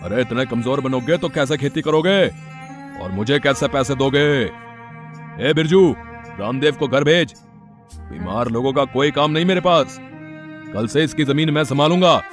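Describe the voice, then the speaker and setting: Blunt, self-assured villager, voiced by a man, medium pitch, moral story over faint music. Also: moderate pace, natural voice. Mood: harsh. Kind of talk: Hindi moral story voice acting.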